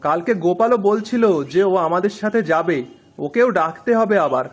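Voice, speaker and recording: A man's voice: high-pitched, animated, normal speed. A man with a Bengali accent playing an anxious, earnest villager in a folk-tale drama. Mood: earnest, urgent. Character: anxious, civic-minded poor male villager in a folk tale.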